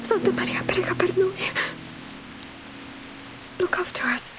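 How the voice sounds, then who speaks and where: Gentle grieving game character, breathy whispering, slow and halting, over music. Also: female voice, extremely high pitch, low-quality recording. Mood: fearful, prayerful. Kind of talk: scripted game character acting. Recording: music behind the voice.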